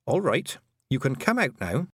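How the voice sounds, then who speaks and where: A stern and forthright young girl from a storybook, as a male narrator puts her on. The voice is lifted in pitch with lively movement. She questions and orders briskly, in a dry recording. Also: husky, no room sound.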